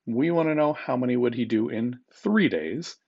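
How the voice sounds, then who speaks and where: A man's voice: medium pitch, lively intonation, normal speed, gravelly, a little mellow, slightly rough, lo-fi sound. A man speaking as a teacher, reading test questions aloud to students in an informal, instructive manner and repeating them.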